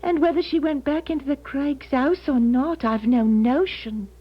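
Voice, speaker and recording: A woman's voice: high-pitched, moderate pace, natural voice. An actress playing a kindly working-class London charwoman with a London accent, folksy and rambling, in a 1970s radio drama. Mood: chatty. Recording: dull 1970s radio broadcast.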